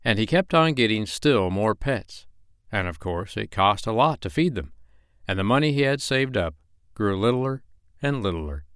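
Animated low-voiced male children's storyteller. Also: normal speed, clear, resonant tone, no echo, clean studio sound. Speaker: storyteller, host of a bedtime reading series. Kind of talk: expressive children's story narration.

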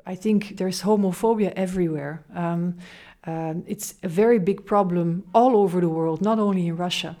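A woman's voice: lowish voice, normal speed, mellow. A woman professor answering interview questions thoughtfully, with some fillers.

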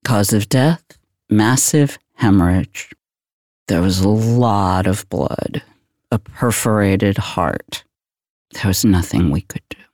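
An actor plays a world-weary older male detective in a noir audio drama. His voice is dark and soft-edged, and he speaks slowly, brooding in a deadpan way. The recording is close and dry. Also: fairly low pitch, no room sound. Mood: grim. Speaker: police detective. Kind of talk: noir monologue, recollection.

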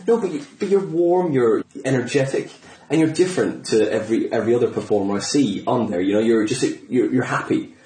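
A man with a soft-edged, mellow voice chats casually as an interviewer. He talks informally, like one comic to another. The room adds a clear echo around his words. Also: medium pitch, brisk, hardly any pauses. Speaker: interviewer, fellow comedian. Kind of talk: casual chat. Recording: roomy echo.

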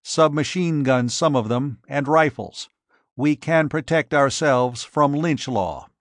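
A man's voice: a little high, takes it slowly, dry recording, studio quality. Casting: icy, clipped man of science, tense and impatient, read by a man. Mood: cold. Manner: curt, clipped. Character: cold, hard male scientist.

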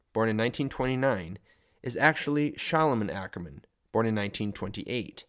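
A man who hosts the show, narrating a true story in a measured, scripted way that builds suspense. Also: on the low side. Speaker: host and narrator.

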